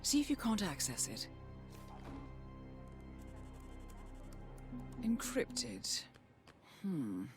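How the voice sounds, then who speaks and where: An actress playing a composed, calculating intelligence handler in a video game, measured and dryly wry as she guides an assassin. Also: on the low side, huge pitch swings, over music, heavily acted. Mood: focused. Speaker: mission handler. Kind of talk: cinematic game dialogue.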